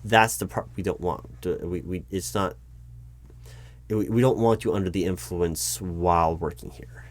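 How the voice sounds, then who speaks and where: A man playing a recruiting manager in a one-sided job interview roleplay, polite and awkward, stumbling through ums and restarts. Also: deep voice. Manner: hesitant, halting, full of ums and restarts; polite, awkward, stiffly corporate.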